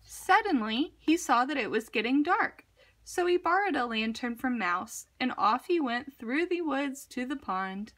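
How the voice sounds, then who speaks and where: A woman reading a children's picture book aloud in an expressive, deliberate, dramatic narration style. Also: high voice. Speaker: storybook reader.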